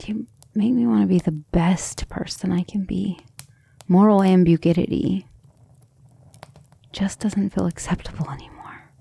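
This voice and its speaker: A woman playing a gentle, earnest, devoted female vampire in an intimate ASMR roleplay, speaking tenderly and a little hesitantly. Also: mid-range voice, steady tone, unhurried, long pauses, heavily acted. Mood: troubled, doubting. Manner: soft, hesitant, tender, often breathy near-whisper.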